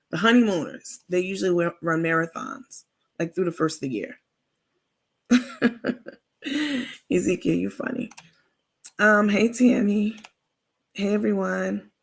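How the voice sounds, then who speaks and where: A woman with a raspy voice hosting a casual livestream, chatting informally with viewers and reading out their comments. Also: medium pitch, fairly bright tone. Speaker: livestream host.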